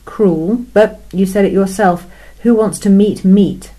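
A woman's voice: medium pitch, fairly even intonation, a little slow, mellow, lightly acted, rough recording. A woman voicing an incredulous female alien official who questions everything in a wry, skeptical way in a two-voice science fiction story reading.

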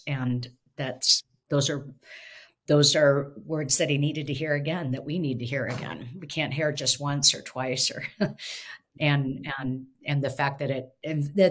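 A woman's voice: very deep voice, husky. Reflective middle-aged woman on video call. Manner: reflective, thinking aloud. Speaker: professor and podcast co-host. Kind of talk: casual theological discussion. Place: home office. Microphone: video call webcam.

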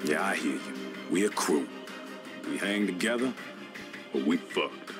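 Blunt streetwise survivor, low voice, very animated, video game scene, loud music. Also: male voice, a little slow. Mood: resigned, loyal. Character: brash, streetwise male survivor, blunt and foul-mouthed. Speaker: actor in a video game cutscene. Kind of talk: dramatic game cutscene acting.

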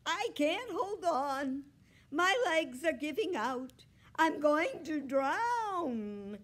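A woman voicing a frantic, demanding female cat in a storybook, yowling loudly and complaining with desperate drama. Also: high-pitched, big pitch swings, very slow, pitched above the performer's own voice, exaggerated voice. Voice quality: loud, yowling.

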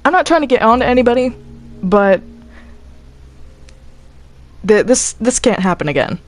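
A woman's voice: a little high, sing-song, moderate pace, faint music behind. A woman talking casually in a group game voice chat, earnest and exasperated, swearing freely. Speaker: player in a group Minecraft roleplay.

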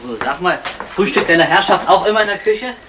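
Shifty, hesitant petty crook. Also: male voice, high voice, lively intonation, fairly quick. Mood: evasive. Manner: hesitant, dry understatement. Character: shifty, evasive small-time crook, hapless and dry. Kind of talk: 1960 German radio comedy acting. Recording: faintly noisy 1960 radio recording.

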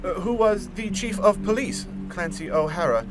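Man playing a high-voiced, halting riddler villain. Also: close to an everyday voice. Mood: uneasy. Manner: halting, theatrical. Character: nervous male riddle-loving villain, theatrical and crafty. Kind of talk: comic fan dub acting. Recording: music bed behind.

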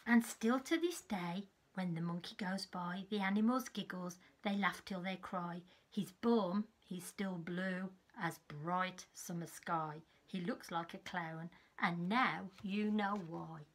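Slightly husky woman reading to children. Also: on the low side. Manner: measured, expressive storytelling for small children. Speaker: teacher reading a storybook to young children. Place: small furnished room at home, indoors.

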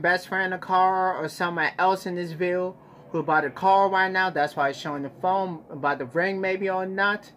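A man's voice: fairly high pitch, pushed and bright. Young male reaction host, casual slangy delivery, exclamatory, phone mic in a bedroom with slight noise. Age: young adult. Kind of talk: casual music video reaction chat. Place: small bedroom, indoors. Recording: noisy home recording.

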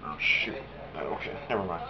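Fast-talking young American man, noisy dull recording. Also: low voice, expressive, gravelly. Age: young adult. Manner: casual, swears when thinking aloud. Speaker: hobbyist air traffic controller. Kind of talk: rapid radio phraseology with casual asides. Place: small home room, indoors. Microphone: camera on desk a step away. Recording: muffled, noisy webcam audio.

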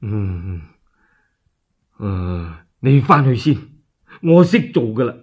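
A male storyteller plays a sorrowful warlord in a radio drama. He sighs and hesitates plaintively, in a slow, husky, swooping voice. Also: lowish voice, very animated, a little mellow, muffled recording, pitched below the performer's own voice, full character voice. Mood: flustered, hesitant. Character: Liu Bei, sorrowful, weepy middle-aged warlord. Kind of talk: one-man Cantonese radio storytelling drama.

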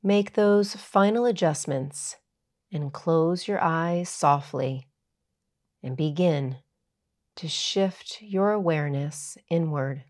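Woman, low pitch, very slow pace, soothing yoga teacher, guided meditation narration, measured instructions. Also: a little mellow.

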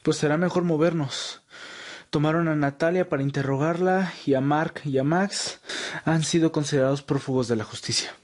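A man's voice: a little high, moderate pace, lightly acted. A man playing a wounded, weary vampire rebel in an amateur audio roleplay, sounding pained. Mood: weary, urgent. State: pained, groaning.

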